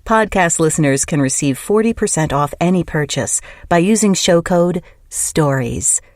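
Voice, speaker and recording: A woman reads a sponsor spot in a brisk promotional manner. Her voice sits very low and sounds dry and close. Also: expressive, a little mellow, dry recording. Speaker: ad reader. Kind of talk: read sponsor advertisement.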